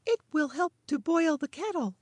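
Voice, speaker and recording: An eager little storybook boy with a very high, bouncing voice, as a man puts him on. Dry, close sound with no room around it. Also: animated, normal speed, no echo.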